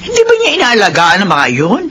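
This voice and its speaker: A woman's voice: medium pitch, wildly swooping pitch, brisk, fairly bright tone, slight background noise. An actress playing a caring, worried older mother with a cautioning manner in a Filipino radio drama. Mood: concerned.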